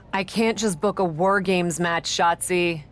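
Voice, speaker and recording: A woman's voice: fairly low pitch, fairly even intonation, slow. An actress playing a brash, vain young woman who manages wrestling shows, with a smug, sardonic, theatrical delivery in a video game cutscene. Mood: sardonic, firm.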